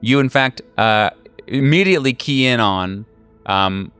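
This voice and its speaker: A man running a tabletop horror game as game master, narrating casually in a halting, slangy, jokey way. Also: mid-range voice, lively intonation, fairly bright tone, smooth, dry recording, faint music behind.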